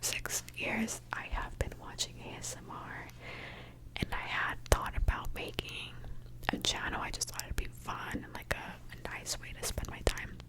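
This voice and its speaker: A woman's voice: moderate pace, low-quality recording. A young woman from Mexico, an ASMR creator, whispering a candid, chatty question-and-answer session.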